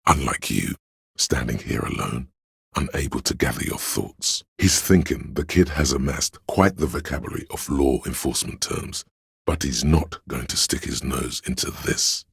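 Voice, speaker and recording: An all-knowing inner voice of police instinct in a literary video game. It is extremely low and very husky, speaking with grave deliberation and dry irony. The sound is close and dry, with no room around it. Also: male voice, a bit bright, no room sound, heavily acted. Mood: dry, deliberate. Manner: measured, deliberate, dryly ironic. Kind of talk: second-person literary game narration.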